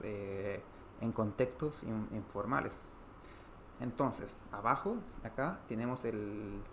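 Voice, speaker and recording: Slow, low-voiced Chilean man teaching. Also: slight background noise. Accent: Chilean Spanish. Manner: explanatory, frequent "eh" fillers, repeats and restarts. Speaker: Spanish teacher. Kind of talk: online language lesson.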